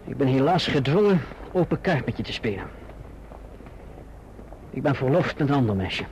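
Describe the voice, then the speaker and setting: An actor playing an earnest, lovelorn young gentleman in a radio drama, frank and emphatic. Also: mid-range voice, big pitch swings, moderate pace. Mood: curt, irritated. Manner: earnest, emphatic, well-spoken. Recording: muffled, noisy old radio recording.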